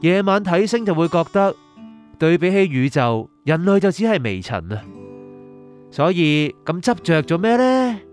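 A man's voice: sits high, big pitch swings, measured pace, a little mellow, soft background music. A man playing a recently dead, reflective young man at peace with letting go, in a radio drama. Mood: reflective, calm. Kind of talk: Cantonese radio drama acting and first-person narration.

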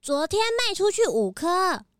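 A woman's voice: very high-pitched, big pitch swings, a little slow, a bit bright, no echo, clean studio sound, full character voice. A woman voicing a young rabbit shop clerk in a children's audio drama, childlike, frank and emphatic. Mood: helpful.

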